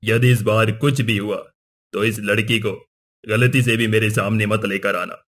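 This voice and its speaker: A man voicing a stern, reluctant king and father in an animated moral story, speaking with formal authority. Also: on the low side, measured pace.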